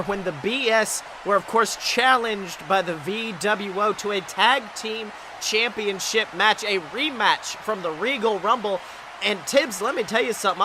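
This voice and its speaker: A man playing an excitable, folksy wrestling announcer, giving theatrical, emphatic play-by-play commentary. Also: sits high, noisy background. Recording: music behind the voice.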